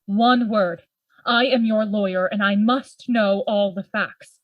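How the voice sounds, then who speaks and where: A woman playing a formal, worried gentleman lawyer and loyal friend in a gothic radio drama. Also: medium pitch, fairly even intonation, takes it slowly, no room sound, dull audio, natural voice. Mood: grave, urgent. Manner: formal, earnest, theatrical. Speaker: actor in a radio play.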